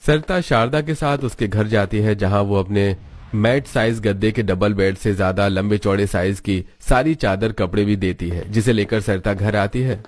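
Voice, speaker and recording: A man's voice: on the low side, normal speed, darkish tone. A man reading scripted storybook narration for a cartoon.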